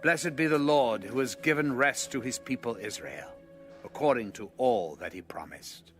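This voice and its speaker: A man performs a devout king of ancient times in a dramatized audio Bible. His delivery is reverent, measured and solemn, in a medium-pitched male voice. Music plays clearly behind him. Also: expressive, fairly bright tone, natural voice. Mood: reverent blessing.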